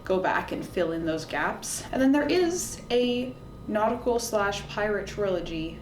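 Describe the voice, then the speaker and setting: A woman's voice: medium pitch, expressive, unhurried. Woman chatting to camera like a vlog, opinionated and informal, roomy echo, bit of background noise. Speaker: book reviewer, video host. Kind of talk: casual talk to camera recommending books.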